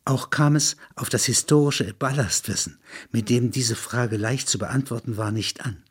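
A man reading scripted literary narration in a husky voice. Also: mid-range voice, normal speed.

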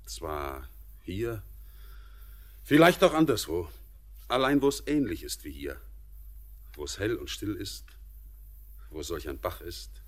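Young former sailor turned miner, radio play, low voice, earnest, poetic. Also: male voice, big pitch swings, slow, long pauses, exaggerated voice. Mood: dreamy. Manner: earnest, poetic, at times brusque. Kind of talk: classic radio play acting.

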